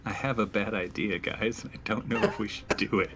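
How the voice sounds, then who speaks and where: A man's voice: fairly low pitch, steady tone, moderate pace. A man roleplaying as a captain in a tabletop game, giving orders and asking questions. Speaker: player as a squad captain.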